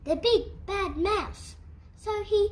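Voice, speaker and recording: A woman's voice: very high-pitched, takes it slowly, a little hiss or hum, voice pushed up. A woman voicing a curious, adventurous young female monster child in a children's storybook, with exclamations and wondering questions. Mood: awed. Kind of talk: children's storybook read aloud.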